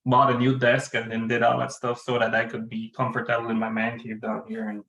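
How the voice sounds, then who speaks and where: A man's voice: mid-range voice, monotone, brisk, creaky, fairly bright tone. A man, a student in an online class, chatting in a rambling, easygoing way over a video call. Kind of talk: casual chat. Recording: roomy echo on a video call.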